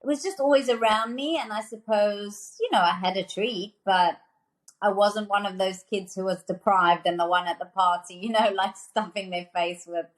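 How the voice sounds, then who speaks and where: A woman's voice: mid-range voice, steady tone, moderate pace, poor audio. A woman in her thirties from South Africa, a nutrition author chatting as a podcast guest, enthusiastic and full of fillers and restarts. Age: 30s. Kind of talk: casual interview conversation.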